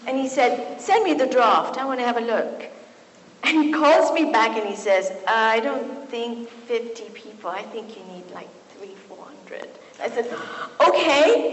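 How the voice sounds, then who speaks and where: A middle-aged woman from Kenya gives a physician's lecture in a large university hall. She tells stories with lively asides in a fairly high voice, and the room's long echo rings around her words under a faint hiss. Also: unhurried. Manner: warm and storytelling, with lively asides. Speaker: physician and speaker. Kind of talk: lecture talk to an audience. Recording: echoey hall, faint noise.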